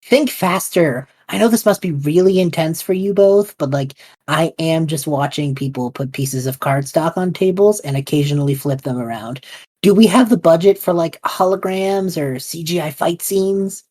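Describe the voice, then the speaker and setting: A woman's voice: low-pitched, moderate pace, dark tone. A woman voicing a sarcastic, exasperated young man with a dry, weary delivery. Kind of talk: audio drama acting. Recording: faint music behind.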